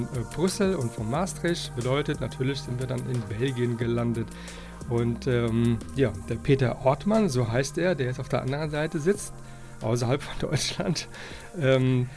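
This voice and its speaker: A man hosting a casual podcast, talking in a halting, wordy way and circling around his point. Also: mid-range voice, creaky, a little mellow. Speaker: podcast host. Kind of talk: rambling, casual podcast introduction and interview.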